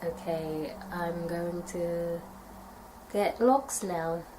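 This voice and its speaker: A young woman from the UK chatting casually to camera in a tag video, her nose stuffy from a cold and her voice a little raspy. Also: on the low side, fairly even intonation, drawn-out words, noisy background. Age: young adult. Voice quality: slightly raspy. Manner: chatty, thoughtful, rambling with fillers. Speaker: vlogger.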